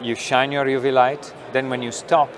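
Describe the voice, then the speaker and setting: A middle-aged man, a company founder explaining technology in an interview, thoughtful with many pauses and fillers. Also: medium pitch, flat delivery, a little hiss or hum. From Italy. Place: trade show booth studio.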